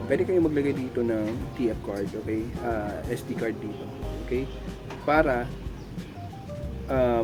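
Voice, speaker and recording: A man's voice: mid-range voice, poor audio. A man with a Filipino accent giving a casual, explanatory product tutorial, often checking in with short tag questions. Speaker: tech tutorial presenter. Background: music.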